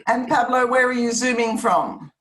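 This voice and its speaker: A woman's voice: a little high, moderate pace, fairly bright tone, roomy sound. A woman informally hosting an online meeting, encouraging, chatty and polite as she guides the participants. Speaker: meeting host and chair. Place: online video call. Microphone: video call.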